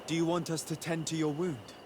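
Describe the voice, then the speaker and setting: A man playing a composed, diplomatic young noble legate in a video game, speaking formally and in measured, articulate phrases. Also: a little high, fairly even intonation, close to an everyday voice. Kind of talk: video game cutscene acting. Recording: noisy outdoor ambience behind the voice.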